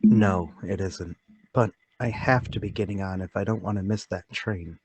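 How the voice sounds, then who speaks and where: A man playing a timid, polite, secretly excited lodger in a radio-play table read, speaking hesitantly and repeating himself. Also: deep voice, normal speed, breathy edge. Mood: quietly excited.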